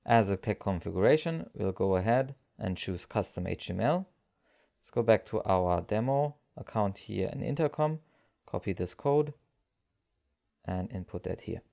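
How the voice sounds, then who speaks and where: A man presents a screencast tutorial in a low voice with a creaky edge. His tone is dark and relaxed, and he walks through each step in an explanatory way. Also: normal speed. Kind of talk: screencast software tutorial narration.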